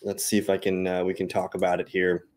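A man with a fairly low voice hosts a fantasy football show. He runs through statistics and gives his analysis casually. His delivery is conversational and matter-of-fact, like a solo livestreamer talking to viewers. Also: completely flat delivery, fast, a little mellow. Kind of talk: casual sports analysis, reading out stats.

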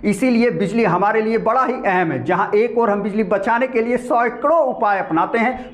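High-voiced Indian man presenting. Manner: formal, methodical, enumerating points. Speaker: presenter of an informational channel. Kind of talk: scripted explainer addressed to viewers.

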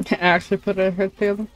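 A woman's voice: medium pitch, low-quality recording. A woman livestreamer giving casual, self-deprecating, grumbling commentary while she plays a game. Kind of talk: casual gaming stream commentary. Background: game music.

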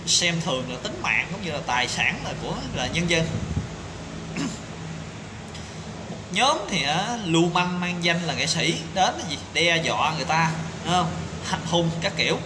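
A man in his thirties speaking an indignant opinion monologue to camera, full of rhetorical questions and fillers, filmed on a phone in a small tiled room. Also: a little high. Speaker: commentator. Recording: echoey tiled room with background noise.

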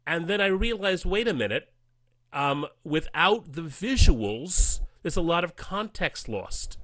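A man's voice: fairly high pitch, lively intonation, a little slow, pushed and bright, no echo, rough recording. A man speaking as an interview guest, explaining his process casually, step by step. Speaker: podcast guest, audio drama adapter.

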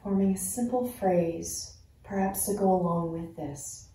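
A woman leading a guided meditation in a soothing, unhurried manner with long pauses between phrases. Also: on the low side, dark tone. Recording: very echoey, reverberant sound.

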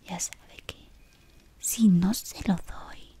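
A devoted servant witch of a dark lord, acted by a young woman for ASMR roleplay. She speaks in a breathy whisper, very close to the microphone. Her delivery is slow, deliberate and deferential. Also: on the low side, fairly even intonation, exaggerated voice. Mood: deferential, attentive. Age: young adult.

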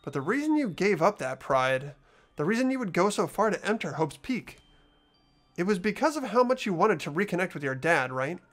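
A man's voice: sits high, sing-song, brisk, a bit bright. A man voicing an earnest, sympathetic and unsure teenage schoolboy in a video game, with a hesitant delivery. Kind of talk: amateur video game voice acting. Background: background music.

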